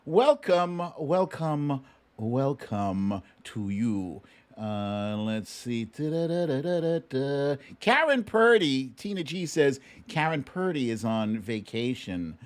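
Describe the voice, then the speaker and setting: Middle-aged American male livestream host, informal and playful, unhurried delivery, mid-pitched voice in a dry studio. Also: big pitch swings, no room sound. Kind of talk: casual live chat with viewers. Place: home studio.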